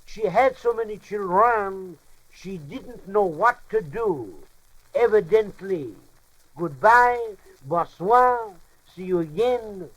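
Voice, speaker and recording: A man's voice: high-pitched, slow pace, fairly bright tone, exaggerated voice, poor audio. A man playing a pompous, bumbling comic French professor in a radio monologue, lecturing in muddled, mock-formal language and mangled words. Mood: grand farewell.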